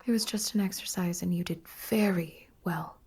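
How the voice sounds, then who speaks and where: A woman's voice: on the low side, fairly even intonation, moderate pace, a little mellow, slightly rough. A woman playing an earnest, coaxing dream therapist in a psychological horror audio drama. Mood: reassuring. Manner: coaxing, guiding. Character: earnest, reassuring female dream therapist, visionary scientist.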